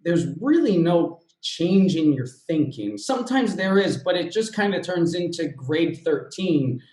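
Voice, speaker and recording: A man from the United States hosting an interview, talking in a relaxed, easygoing way with fillers. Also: fairly high pitch, unhurried. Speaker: host. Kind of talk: casual interview chat. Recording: echoey room.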